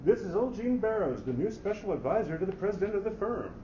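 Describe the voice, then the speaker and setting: A man's voice: high-pitched, big pitch swings, fast, a loud crowd. A man playing a timid, deferential old personnel chief in a staged story reading. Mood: announcing. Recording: muffled, distant, echoey hall recording.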